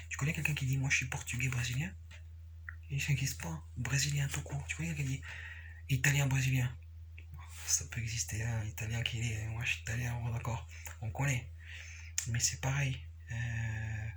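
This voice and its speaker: A man giving a casual, unscripted explanation, repeating himself haltingly and often checking that the listener follows. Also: medium pitch, slight background noise. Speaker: capoeira practitioner sharing views.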